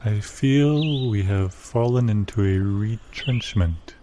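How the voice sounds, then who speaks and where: A man improvising comedy dialogue in a deadpan manner. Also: on the low side, slow pace, noisy background. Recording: loud plucked guitar music alongside the voice.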